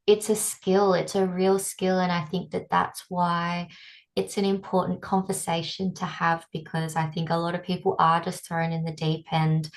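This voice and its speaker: A woman's voice: lowish voice, little pitch movement, normal speed, rough recording. Curious woman hosting a webinar. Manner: engaged, curious, conversational. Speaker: webinar host. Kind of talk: casual webinar conversation.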